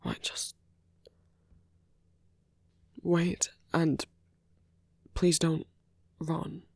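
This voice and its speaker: Man acting a breathy, slow-talking romantic villain. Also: fairly high pitch, steady tone, darkish tone, no echo, clean studio sound, heavily acted. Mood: hushed, coaxing. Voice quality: breathy, husky, often whispering. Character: romantic male villain, smitten, idealistic, urging a lover to flee. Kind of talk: intimate ASMR roleplay, scripted acting.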